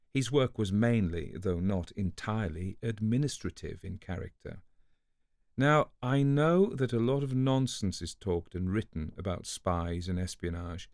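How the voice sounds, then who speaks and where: A man giving a scripted literary narration in a measured, conversational storytelling manner, as if reading a letter aloud. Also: low voice, a little mellow, no room sound, studio quality. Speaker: audiobook narrator.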